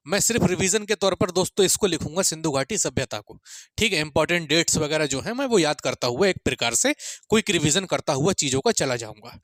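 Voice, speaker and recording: A man's voice: fairly high pitch, a bit bright, no echo. A man giving an informal exam-prep lecture, repeating his points and often checking in with students in a friendly way.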